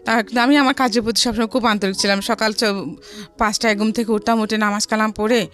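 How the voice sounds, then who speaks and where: A middle-aged woman with a Bangladeshi accent telling her life story in a studio interview, haltingly and often repeating phrases. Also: fairly high pitch, steady tone, fairly bright tone. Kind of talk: personal storytelling in a radio interview. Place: radio studio. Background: background music.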